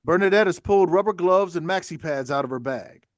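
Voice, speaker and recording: Casting: male stage-direction reader, fairly high voice, dry close sound. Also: fairly quick, dry recording. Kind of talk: scripted narration at a table read.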